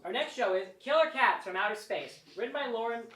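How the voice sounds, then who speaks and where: A woman's voice: fairly high pitch, lively intonation, brisk, a bit bright. A woman making scripted announcements as co-host of a club stage event. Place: college theatre, indoors. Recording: roomy theatre echo.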